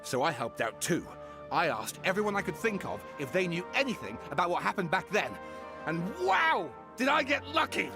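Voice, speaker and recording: A theatrical, eager writer. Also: male voice, high-pitched, sing-song, moderate pace, gravelly, over music, full character voice. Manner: dramatic, showmanlike. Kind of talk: game voice acting.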